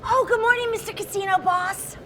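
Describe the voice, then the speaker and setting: A woman playing an excitable, stubborn casino gambler in a broad comedy scene. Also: very high-pitched, brisk, slight background noise. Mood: eager, excitable. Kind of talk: broad scripted comedy acting.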